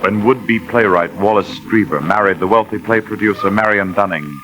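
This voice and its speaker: An actor serving as narrator of a 1940s radio suspense drama, formal, measured and ominous, on a vintage recording. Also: male voice, lowish voice, over loud music, lightly acted, poor audio. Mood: grave, ominous. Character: grave male narrator of a suspense story.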